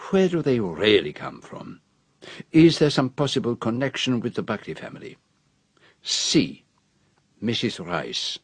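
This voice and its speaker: An actor playing a fussy, shrewd older Belgian detective with a French-speaking Belgian accent, precise and theatrical in a radio drama. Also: male voice, medium pitch, sing-song, unhurried, long pauses, husky, no echo. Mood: methodical, probing.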